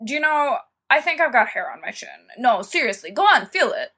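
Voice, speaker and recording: A sly, careless teenage schoolboy from a storybook, acted by a woman reader. The voice is fairly high and bright, swooping up and down as he mocks and scoffs. Everything comes out flippant and cocky. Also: very animated, fairly quick, breathy edge, exaggerated voice. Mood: flippant, scoffing. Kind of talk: dramatised fan-fiction audiobook reading.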